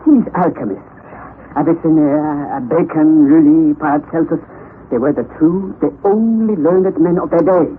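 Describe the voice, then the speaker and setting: Through a thin, hissy old radio recording comes an eccentric professor, an older man played by an actor. His voice sits fairly high and leaps about as he declaims theatrically. He is excitable, obsessive and bossy, in the manner of old-time radio drama. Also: measured pace, close to an everyday voice. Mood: excited, proclaiming. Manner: theatrical, declaiming, muttering to himself. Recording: tinny, narrow, noisy vintage radio recording.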